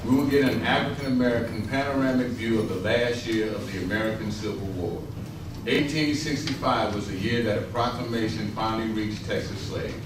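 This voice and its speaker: A man's voice: on the low side, fairly quick. A man reading scripted historical narration aloud as a narrator in a readers theater. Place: stage rehearsal in a large echoing room. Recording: distant, echoey hall, clear noise.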